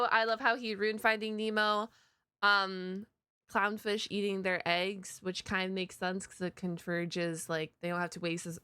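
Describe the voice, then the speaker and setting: A woman hosts a casual reaction video, rambling informally and dropping slang as she goes. Her voice is bright and forward, smooth and clean, recorded close and dry. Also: medium pitch, no room sound. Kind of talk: casual chatty reaction commentary.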